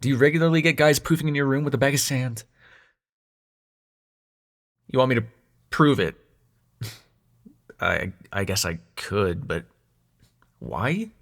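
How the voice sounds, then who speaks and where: A man's voice: mid-range voice, measured pace, long pauses, a bit bright. A man acting a protective young dream god in an intimate audio roleplay, sweary and sarcastic yet tender, speaking conversationally and hesitantly to the listener. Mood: wry, puzzled.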